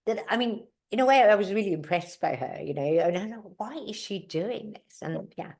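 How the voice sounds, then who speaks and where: A woman's voice: mid-range voice, animated, normal speed, vocal fry, darkish tone. A middle-aged woman whose first language is German, telling stories in a casual interview with many fillers and asides. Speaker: mountaineer and guest.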